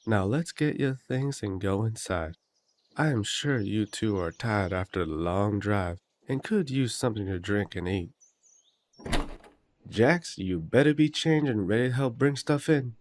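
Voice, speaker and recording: A man playing a courteous, protective eldest werewolf brother in an audio roleplay, gently authoritative and polite. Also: lowish voice, expressive, unhurried. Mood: firm, scolding. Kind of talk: roleplay audio drama acting.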